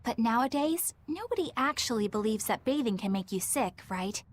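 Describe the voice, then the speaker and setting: An actress playing a curious, sharp-minded young woman in a video game, articulate and inquisitive. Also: on the high side, lively intonation, normal speed, a little hiss or hum, close to an everyday voice. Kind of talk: scripted video game dialogue.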